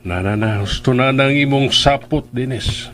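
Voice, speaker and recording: A man's voice: medium pitch, a little slow, fairly bright tone, lightly acted. A man playing a placating middle-aged father and master of the house in a radio drama, soothing and reasoning, with a Bisaya accent. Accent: Filipino, Bisaya.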